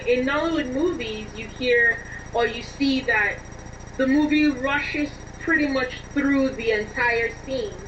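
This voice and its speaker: High-voiced woman interviewee, distant and muffled. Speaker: interview guest, scriptwriter. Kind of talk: conversational interview answers. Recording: distant, dull, noisy remote connection.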